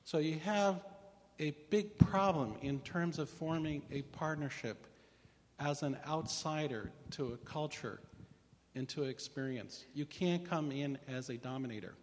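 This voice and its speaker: A man's voice: on the high side, unhurried. A man speaking as a psychiatrist on a panel, emphatic and lecturing as he challenges the discussion. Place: large hall with an audience. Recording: long hall reverb.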